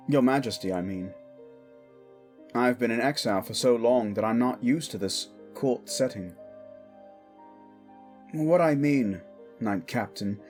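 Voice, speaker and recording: A man acts out a protective exiled prince ruling in a king's stead. He speaks slowly and deliberately in a mid-pitched voice, with firm, formal authority and some weariness. It plays as fantasy audio drama with faint music behind. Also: a little mellow, lightly acted. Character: protective exiled prince acting as king, commanding yet weary. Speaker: voice actor.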